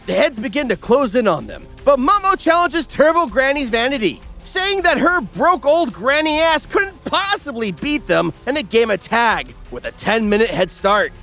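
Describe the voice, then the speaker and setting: A man's voice: extremely high pitch, very animated, normal speed, hardly any pauses, bright, forceful tone. A man narrating a scripted comedic recap in a theatrical, crude, sardonic way. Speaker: narrator and host. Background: background music.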